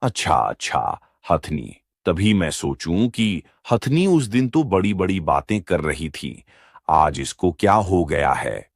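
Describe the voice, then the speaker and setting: A man's voice: low voice, sing-song, slow, gravelly, no room sound, clean studio sound, exaggerated voice. A man voicing a bear moneylender in a children's moral-story cartoon, dramatic and lamenting in manner. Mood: resigned, sympathetic. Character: male bear moneylender, scheming yet remorseful. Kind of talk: Hindi animated moral story dialogue.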